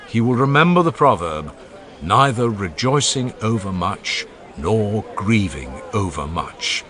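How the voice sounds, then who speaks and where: Man reading a solemn old philosopher-orator for an audio drama, low and expressively varied. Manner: solemn, declamatory oration. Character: older male philosopher, grave, eloquent orator. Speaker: Socrates.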